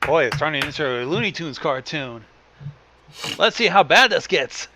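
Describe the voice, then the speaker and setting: A man's voice: a little high, lively intonation, moderate pace, pushed and bright. A man giving casual commentary on a story reading, through a dull, noisy microphone. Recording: dull, lo-fi microphone with background noise.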